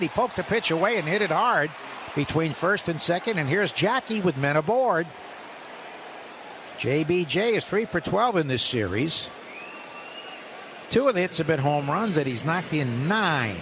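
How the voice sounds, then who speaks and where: A male radio play-by-play announcer with a somewhat high-pitched voice, calling pitches and hits. His voice jumps up when the ball is put in play. Steady crowd noise from the ballpark fills the background. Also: moderate pace. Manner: rises sharply on live action calls.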